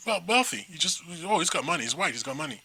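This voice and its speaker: A middle-aged man from Nigeria co-hosting a talk show, chatting in a rambling, animated way with many restarts. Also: fairly high pitch, fast, slightly rough, poor audio. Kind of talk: casual chat.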